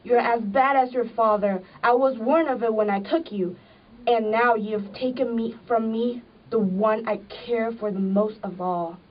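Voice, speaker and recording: A woman's voice: a little high, fairly even intonation, a little slow. A woman playing a stern, aggrieved wife in an amateur drama, scolding and reproachful. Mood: bitter reproach. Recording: dull, distant microphone.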